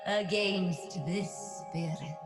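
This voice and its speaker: A vengeful female phantom in an audio drama. She intones ominously, extremely slowly, with heavy echo and eerie music clearly behind her. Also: lowish voice, steady tone. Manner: ominous, drawn-out incantation. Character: ghostly, vengeful female spirit, ominous and incantatory. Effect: long eerie reverb. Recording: echoey, long reverb.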